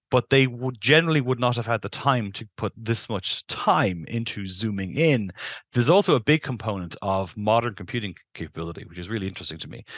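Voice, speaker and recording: Low-voiced man explaining, dull dry sound. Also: fairly bright tone, dry recording. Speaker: planetary scientist, interview guest. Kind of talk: explanatory interview talk. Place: indoors on a video call. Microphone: headset mic.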